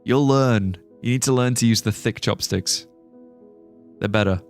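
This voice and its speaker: Young british guy streaming a game, gravelly fry in his voice, music faint behind. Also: mid-range voice, unhurried, darkish tone. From born in Singapore, raised British. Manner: rambling, restarts sentences, laughs at chat jokes. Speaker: livestreaming gamer. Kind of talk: casual chat while playing a game and reading chat.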